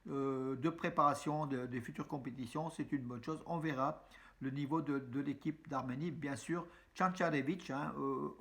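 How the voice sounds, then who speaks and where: Chatty man giving news, full of fillers. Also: medium pitch. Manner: chatty, many fillers and restarts, addresses viewers as friends. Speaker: news vlogger. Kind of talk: casual spoken news roundup. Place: room with a map on the wall behind him.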